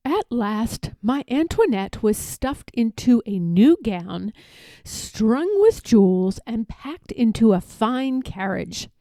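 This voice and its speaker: Female storyteller for children's picture book: mellow, unhurried, expressive, close dry sound. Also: on the high side, no room sound. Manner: deliberate, animated storytelling. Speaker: read-aloud storyteller.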